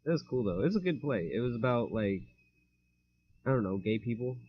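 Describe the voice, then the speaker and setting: A man's voice: medium pitch, animated, fairly quick, slightly rough. A young man co-hosting a casual podcast, talking in a laid-back, hesitant way with many fillers. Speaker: podcast co-host. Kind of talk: casual chat. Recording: dull lo-fi mic.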